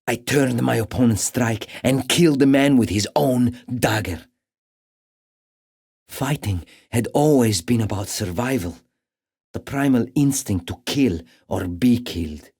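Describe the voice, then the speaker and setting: Fierce lovesick gladiator for a video game, really low and gravelly, slow and brooding. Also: male voice, heavily acted. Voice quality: gravelly, husky. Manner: slow, brooding, intense, hushed at times. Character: battle-scarred gladiator champion, lovelorn and fierce, recounting his past. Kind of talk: dramatic first-person monologue for a game.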